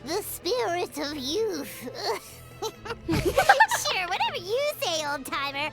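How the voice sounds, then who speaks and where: A tiny, excitable girl companion pipes up in a very high, bouncy voice that leaps up and down. She is sassy and teasing, firing off quick exclamations. Game music plays clearly behind her. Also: full character voice. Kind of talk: video game voice acting.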